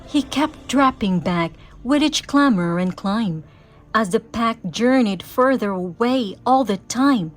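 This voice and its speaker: A woman giving an expressive, theatrical read-aloud of a rhyming children's story. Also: on the high side, slow. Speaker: storybook reader.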